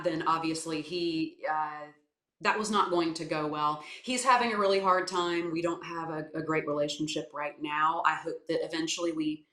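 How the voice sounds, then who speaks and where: A woman from South Carolina with a naturally low voice, giving thoughtful, hesitant interview answers full of fillers and restarts. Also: a bit bright. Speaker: guest, voice coach and actor. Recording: echoey room.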